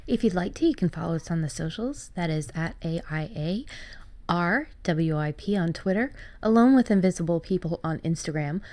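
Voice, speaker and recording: A woman's voice: lowish voice, expressive, moderate pace, a little mellow. A woman hosting a podcast, casually giving listeners information in a conversational style. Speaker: podcast host.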